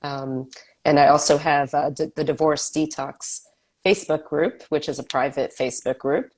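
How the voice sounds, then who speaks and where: Low-voiced woman with a cold. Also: steady tone, moderate pace, a little mellow. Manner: upbeat, promotional. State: has a cold, sniffling. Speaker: health coach, guest. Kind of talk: casual interview chat.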